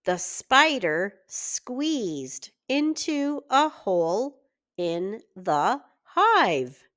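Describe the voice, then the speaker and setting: A woman reading a children's story aloud in deliberate, word-by-word phrases, as a reading teacher does for early readers. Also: on the high side, a bit bright, clean tone.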